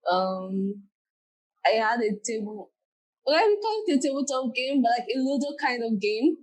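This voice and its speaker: A woman's voice: on the high side, lively intonation, moderate pace, a little mellow. Young woman, chatty. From Nigeria. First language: Yoruba. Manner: chatty, many "like" fillers. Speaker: guest. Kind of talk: casual interview talk.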